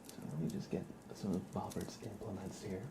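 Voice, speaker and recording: A man hosting an ASMR stream in a soft, breathy half-whisper, speaking gently and informally. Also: deep voice, steady tone, brisk, background noise. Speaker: ASMR livestream host.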